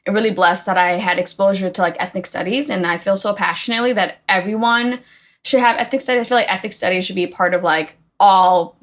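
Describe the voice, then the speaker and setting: A woman in her thirties who grew up in Boston, chatting as a guest in a relaxed interview. She talks freely, tossing in "like" and "right?" tags as she goes. Her pitch sits in an ordinary middle range. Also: quick pace. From Boston, United States. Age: 30s. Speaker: guest, coffee company founder. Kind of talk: casual interview conversation.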